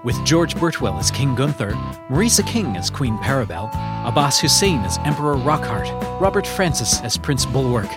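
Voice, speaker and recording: Man reading credits over loud guitar music. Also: mid-range voice, very animated, normal speed. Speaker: credits announcer. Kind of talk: scripted announcement.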